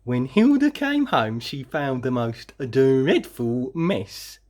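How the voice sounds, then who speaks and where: A woman reading a children's story aloud expressively, with dramatic emphasis and drawn-out words. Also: a bass voice, very animated, darkish tone. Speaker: storybook reader.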